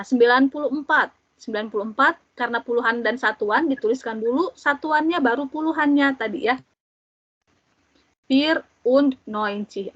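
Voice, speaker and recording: Slow, patient young woman teacher. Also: fairly high pitch, fairly bright tone, no room sound. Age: 20s. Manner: repeats numbers slowly and clearly for learners. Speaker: German language teacher. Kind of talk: slow, patient classroom explanation. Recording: dull, dry lo-fi audio.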